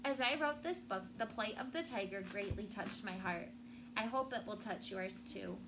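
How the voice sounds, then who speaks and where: A woman's voice: medium pitch, normal speed, background noise. A woman schoolteacher reading aloud and talking to children in a classroom, explaining in simple words and checking in with questions.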